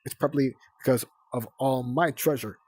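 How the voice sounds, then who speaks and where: A man voicing a small young female dragon hammily playing a grand, loud queen. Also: mid-range voice, normal speed, slightly rough, no echo, lightly acted. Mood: pompous.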